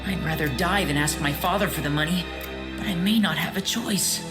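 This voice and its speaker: A woman playing a proud but easily flustered teenage student waiter in an anime audio drama, earnest and expressive. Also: lowish voice, brisk, lightly acted. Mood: worried. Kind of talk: anime audio drama dub with inner monologue. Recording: studio voice over clear background music.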